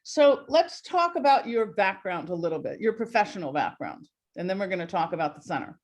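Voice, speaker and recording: A woman hosting a live webinar over a video call, talking in a warm, rambling way with many fillers. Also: medium pitch, very animated, fairly quick. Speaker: host.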